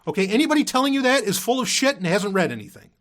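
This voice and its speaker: A man's voice: sits high, very animated, very fast, fairly bright tone. A man hosting a podcast in a casual, unscripted monologue, rambling and emphatic, often repeating himself and swearing casually. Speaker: podcast host.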